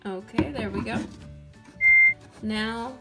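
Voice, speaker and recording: A woman's voice: a little high, fairly even intonation, takes it slowly. Chatty young woman over background music. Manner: chatty, sweet, talks to viewers and doll. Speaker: doll channel host. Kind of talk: casual doll-play cooking demo. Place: toy kitchen indoors.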